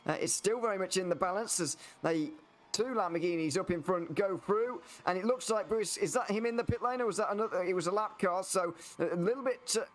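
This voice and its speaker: A man co-commentating a sim race live, hesitant with many fillers before he picks up the call. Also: high-pitched.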